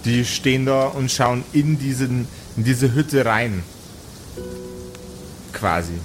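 A man narrating an improvised tabletop adventure as game master, with deliberate phrasing and dramatic pauses. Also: mid-range voice, music in the background.

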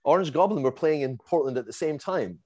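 A man with a Scottish accent, casually telling a lively story as a guest and laughing and swearing along the way. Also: on the high side, brisk, dry recording. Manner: animated, swears, laughs while telling, acts out quotes.

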